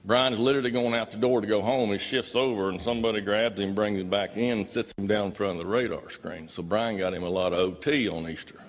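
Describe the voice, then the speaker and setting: A man's voice: on the low side, steady tone, fast talker, few pauses, slight background noise. A man tells his own story as a live talk, in a folksy, emphatic way. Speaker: speaker recounting his own experience. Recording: reverberant hall.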